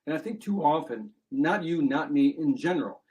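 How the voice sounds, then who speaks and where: A man's voice: on the high side, lively intonation, normal speed, warm tone, low-quality recording. A man hosting a sales podcast who chats in a loose, conversational way, often restarting his sentences and laying out his points as numbered items. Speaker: podcast host. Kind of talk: podcast interview.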